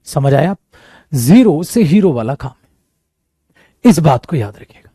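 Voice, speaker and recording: A man teaching an English coaching class for competitive exams, talking to students as "beta" and "mere dost" and acting out their doubts in a lively way. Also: fairly high pitch, a little slow, husky, no echo, clean studio sound.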